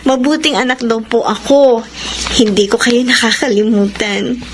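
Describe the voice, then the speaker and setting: An actress playing an earnest, devoted daughter in a Filipino radio melodrama. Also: female voice, fairly high pitch, fairly even intonation, normal speed, background noise, close to an everyday voice.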